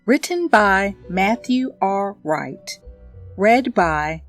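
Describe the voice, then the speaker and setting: Casting: female narrator for audio fiction credits, slow measured pace, music bed beneath. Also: mid-range voice, lively intonation. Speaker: story narrator. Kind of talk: scripted title and credit reading.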